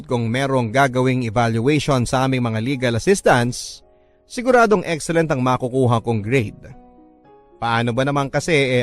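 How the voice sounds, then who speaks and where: A man with a Filipino accent narrating a love story in a dramatic, scripted first-person radio storytelling style. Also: mid-range voice. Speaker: radio storyteller reading a listener's letter. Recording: music bed under the voice. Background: background music.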